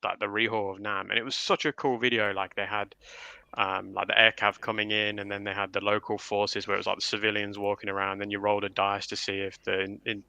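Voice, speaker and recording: Aussie guy chatting on a panel, bright but gravelly voice, dry sound. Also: lowish voice, little pitch movement, a little fast, dry recording. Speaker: livestream panelist. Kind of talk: casual chat.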